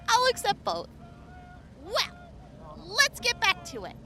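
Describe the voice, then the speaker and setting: A woman's voice: very high voice, wildly swooping pitch, drawn-out words, sharp tone, a little hiss or hum, heavily acted. A woman playing an upbeat young army medic in an audio drama, chatty and giggly, stammering when flustered. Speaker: field medic.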